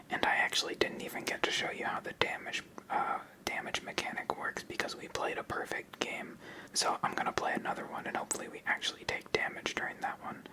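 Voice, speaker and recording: An ASMR host whispering commentary while playing through a tabletop card game. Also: poor audio.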